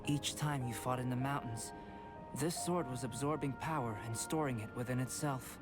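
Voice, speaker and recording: Man voicing a calm, aloof young alchemist. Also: medium pitch, close to an everyday voice. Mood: calm, analytical. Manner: measured, formal, reserved. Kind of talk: scripted video game dialogue. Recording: background music under the voice.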